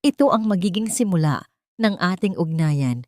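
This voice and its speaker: A woman voicing a cold, haughty woman designer in a dramatic story, measured and threatening. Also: on the low side, expressive, a little mellow, no echo, clean studio sound, close to an everyday voice. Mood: stern, threatening. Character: cold, commanding glamorous woman designer set on revenge.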